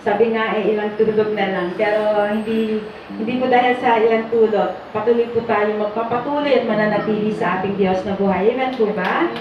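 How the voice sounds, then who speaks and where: A Filipino woman leading worship, exhorting a congregation in a halting way, repeating phrases and asking for amens over instrumental music. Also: medium pitch, steady tone, highs cut off, background noise. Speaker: church worship leader. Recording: echoey, distant, loud music behind.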